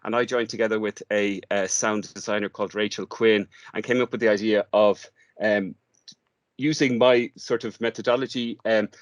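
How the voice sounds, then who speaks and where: A man with a fairly low voice and a dry, close sound explains his work in an informal interview. He speaks hesitantly, piecing his thoughts together as he goes. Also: steady tone, normal speed, dry recording. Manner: hesitant, thinking aloud in fragments. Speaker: theatre director, interview guest.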